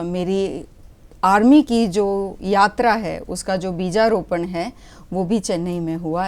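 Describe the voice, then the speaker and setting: A woman in her forties from Gujarat, a retired army officer speaking as a guest in a broadcast interview, measured, with many pauses and English phrases mixed in. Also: mid-range voice, darkish tone, clear, resonant tone. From Gujarat, India.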